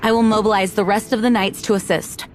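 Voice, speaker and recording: A woman's voice: mid-range voice, fairly even intonation, fast talker, background noise, natural voice. A woman voicing a dutiful, composed young knight commander in a video game, formal and earnest. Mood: resolute.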